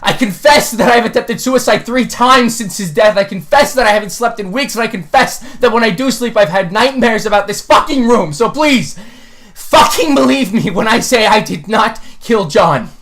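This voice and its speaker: A man's voice: high-pitched, expressive, moderate pace, a bit bright. A man playing a grieving, desperate young murder suspect in an audio drama, stammering and swearing as he pleads his innocence. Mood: anguished pleading.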